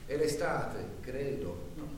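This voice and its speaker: An actor playing a lonely, abandoned man, speaking a slow, halting, introspective theatrical monologue. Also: medium pitch, animated, background noise. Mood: melancholy. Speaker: stage actor in a radio theatre broadcast. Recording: echoey stage recording from far off.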